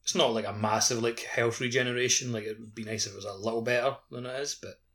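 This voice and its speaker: A man's voice: medium pitch, fairly even intonation, quick pace, a bit bright. A man in casual gaming podcast chat, informally offering tips as one of the co-hosts. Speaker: podcast co-host.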